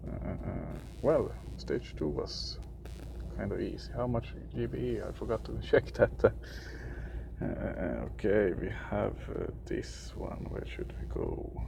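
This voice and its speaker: A young man from Sweden with a Swedish accent, for whom English is a third language, giving casual gameplay commentary in a halting way, often searching for words. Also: fairly low pitch, sing-song, vocal fry, noisy background. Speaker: gaming video creator.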